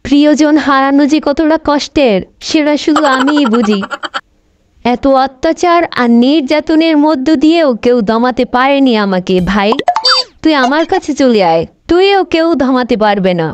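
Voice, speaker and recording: A woman's voice: high-pitched, moderate pace, highs cut off, close to an everyday voice. A woman voicing a bossy, melodramatic elderly grandmother in a comic cartoon, doting on her brother and nagging her husband. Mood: doting, sorrowful. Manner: scolding, melodramatic, gushing over her brother. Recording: noisy amateur cartoon dub with clicks.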